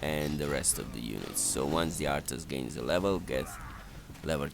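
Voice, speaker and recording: A man giving unscripted strategy game commentary in a halting, matter-of-fact, instructional way. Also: very deep voice, gravelly. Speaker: game walkthrough narrator. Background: game ambience and effects.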